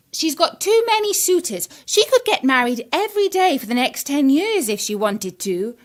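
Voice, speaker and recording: A woman playing a scornful wicked stepmother queen in a children's story, catty and disdainful. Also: fairly high pitch.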